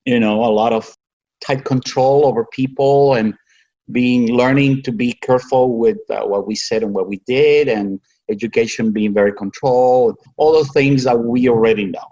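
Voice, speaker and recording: A man in his sixties with a Cuban accent, a native Spanish speaker, telling his own story conversationally and haltingly. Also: medium pitch, mellow, highs cut off. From Cuba. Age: 60s. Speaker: podcast guest, physician.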